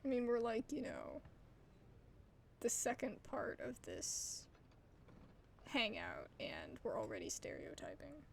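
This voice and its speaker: A woman gives casual game commentary in a fairly high, wildly swinging voice. She drops into wry, muttered asides with plenty of vocal fry. There is faint rumbling noise behind her. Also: huge pitch swings, slow, pauses often. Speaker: gaming video host. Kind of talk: casual playthrough commentary, reacting to dialogue.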